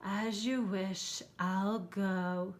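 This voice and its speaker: A woman voicing a mild, bookish male monster from a storybook, breathy and politely earnest. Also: fairly even intonation, drawn-out words. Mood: huffy. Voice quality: low, breathy.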